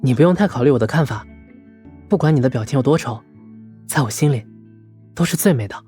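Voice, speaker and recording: A man's voice: mid-range voice, expressive, fast, warm tone, close to an everyday voice. A man playing an earnest, lovesick nice-guy college student in an audio drama. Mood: eager, relieved. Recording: faint music behind.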